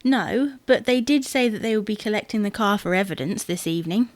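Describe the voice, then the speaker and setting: A woman's voice: mid-range voice, lively intonation, a little fast, dry recording, close to an everyday voice. A woman playing a dry, sardonic, patient but unimpressed housewife in an audio sitcom.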